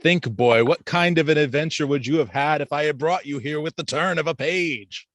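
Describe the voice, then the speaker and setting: A man's voice: a little high, normal speed, a bit bright, no echo, highs cut off, voice pushed up, natural voice. Man playing a theatrical old book wizard. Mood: rousing, admonishing. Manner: theatrical, admonishing. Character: grand old male wizard, keeper of books.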